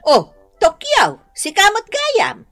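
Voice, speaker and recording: A woman's voice: extremely high pitch, huge pitch swings, slow pace, fairly bright tone, faint music behind, exaggerated voice. A woman playing a friendly, chatty village neighbour in a radio drama, with a Filipino accent and exclamatory delivery. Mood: friendly, curious. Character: friendly village neighbour, an older-sister figure. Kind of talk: Ilocano radio drama acting.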